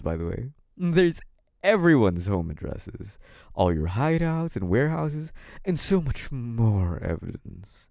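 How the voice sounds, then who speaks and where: A man's voice: mid-range voice, gravelly, darkish tone, no echo, studio quality. A man playing a smug, menacing, possessive young mafia boss in an intimate audio roleplay, taunting in a theatrical, mock-sweet way. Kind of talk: scripted audio roleplay, intimate monologue to one listener.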